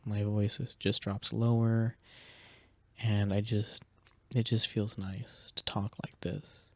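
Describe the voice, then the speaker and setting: A soft-spoken man with a low, deep voice, chatting casually as an ASMR creator, drifting between quiet talk and whispers into a sensitive close microphone. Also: slow, no echo. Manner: relaxed, rambling, slips into whispers.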